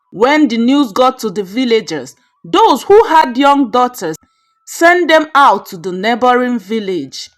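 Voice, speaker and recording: A Nigerian woman narrates a folktale from a script. Her voice sits fairly high and moves widely up and down in pitch. She phrases the story in a measured, dramatic way, as a storyteller does.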